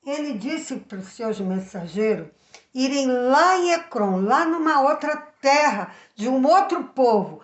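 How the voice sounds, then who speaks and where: A Brazilian woman telling a story to children in a simple, expressive way, addressing her viewers directly. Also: high voice. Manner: simple, expressive, repeats subjects for emphasis. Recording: echoey room.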